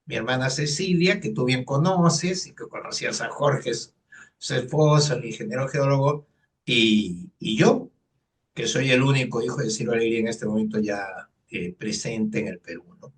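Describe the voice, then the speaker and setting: A man's voice: medium pitch, normal speed, distant mic. A man in his sixties from Lima with a Peruvian accent, an economist telling his life story in an interview with many fillers. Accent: Peruvian Spanish, Lima. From Peru. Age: 60s. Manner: earnest, storytelling, frequent fillers. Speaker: economist, guest. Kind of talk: personal interview.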